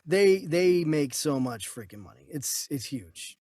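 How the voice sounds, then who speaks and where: A man with a voice a little higher than average co-hosts a casual movie news chat. The sound is dry, with hardly any room around him. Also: lively intonation, a little slow, a little mellow, no echo. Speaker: podcast co-host.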